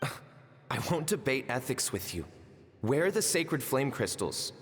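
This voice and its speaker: An actor playing an earnest, wounded younger brother in a video game, reproachful and intense. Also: male voice, medium pitch, very animated, a little slow. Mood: bitter, reproachful. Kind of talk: dramatic game dialogue. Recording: long reverb, music underneath.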